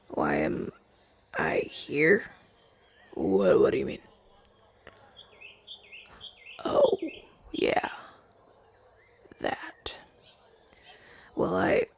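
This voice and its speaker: A man voicing a gentle, wistful demon familiar in an ASMR roleplay, soft-spoken and hesitant, in a breathy, husky half-whisper. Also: mid-range voice, heavily acted. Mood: hesitant, sad. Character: gentle, wistful male rogue demon familiar left masterless. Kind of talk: ASMR roleplay audio drama, softly spoken to listener.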